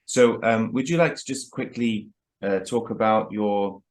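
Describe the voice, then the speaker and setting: A man's voice: on the low side, a little slow. A man hosting a casual interview, asking questions with a hesitant, friendly manner and frequent fillers. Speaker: host. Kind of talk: casual interview over video call.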